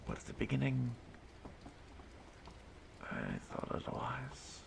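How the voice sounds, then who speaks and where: Guy doing a creepy ageless stalker for audio rp, super gravelly half whisper, slow n toying, noisy recording. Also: mid-range voice, wildly swooping pitch, heavily acted. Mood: reflective. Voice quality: breathy whispering, creaky. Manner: hushed, halting, softly menacing, drawn-out delivery. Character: ageless menacing male stalker toying with his prey. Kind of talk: audio roleplay monologue addressed to the listener.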